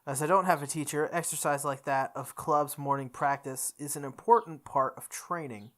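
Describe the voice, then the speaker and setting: A woman playing through a visual novel, reading its text aloud with expressive, goofy casual commentary, sometimes correcting her stumbles. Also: low-pitched, moderate pace. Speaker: host of a visual novel playthrough.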